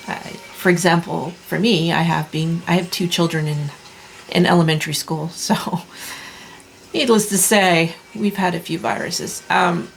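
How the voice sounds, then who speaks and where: A woman in her thirties giving a tarot reading in a soft, reassuring way, clearing her throat as she loses her voice. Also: lowish voice, slight background noise, low-quality recording. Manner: soft, slow, reassuring delivery with many restarts. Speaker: tarot reader. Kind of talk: pick-a-card tarot reading.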